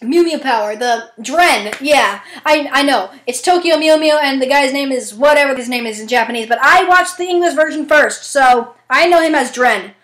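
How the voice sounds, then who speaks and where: Excitable high-voiced young woman, roomy recording. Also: normal speed, hardly any pauses. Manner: giggly, self-deprecating, excitable. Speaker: amateur voice actor auditioning. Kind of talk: casual self-commentary between takes.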